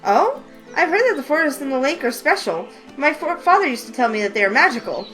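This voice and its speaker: A woman's voice: sits high, sing-song, brisk, fairly bright tone, pitched above the performer's own voice, rough recording. A woman voicing an eager, curious young heroine in a game read-through, with faint music behind. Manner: eager, wide-eyed questions. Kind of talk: voice acting a visual novel.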